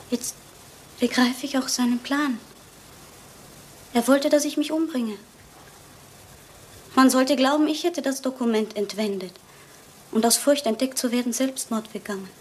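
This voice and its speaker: An actress playing a frightened, pleading young wife in a German radio crime drama, halting and emotional. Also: female voice, fairly high pitch, a little hiss or hum, lightly acted, rough recording.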